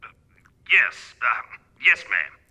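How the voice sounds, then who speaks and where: Man as radio-drama police sergeant; very bright, forceful tone, mid pitch, stolid and placating. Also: sing-song, slow pace, breathy edge, full character voice. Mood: detached, placating. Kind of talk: radio play acting.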